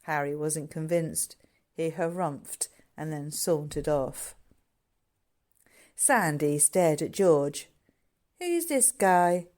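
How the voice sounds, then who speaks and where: Low-pitched woman narrating a novel. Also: steady tone, takes it slowly, darkish tone. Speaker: author reading own novel. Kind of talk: scripted audiobook narration.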